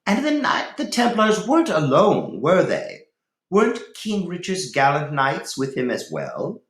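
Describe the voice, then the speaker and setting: Guy doing a proud angry young lady of the manor, storybook, pitched way up, echoey. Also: huge pitch swings, measured pace, a bit bright, heavily acted. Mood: taunting. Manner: taunting, indignant. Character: proud, fiery young Saxon noblewoman. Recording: roomy, echoey room.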